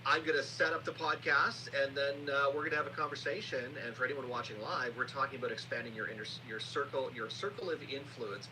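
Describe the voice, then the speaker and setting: A man's voice: medium pitch, quick pace, hardly any pauses, crowd noise. A man hosting a podcast, introducing and questioning his guest in a conversational way. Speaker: podcast host. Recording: noisy, humming live stream audio.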